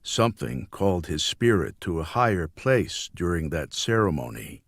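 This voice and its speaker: A man reading a novel as an audiobook narrator, with a measured, solemn and deliberate delivery. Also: sits low, sing-song, no echo, clean studio sound.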